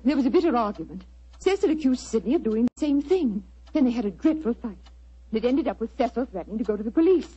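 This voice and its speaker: A woman acting a genteel, earnest, wealthy society lady in a 1940s radio drama, polite, measured and dramatic. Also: on the high side, expressive, mellow, breathy edge. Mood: anxious, pleading. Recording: muffled vintage 1940s radio recording.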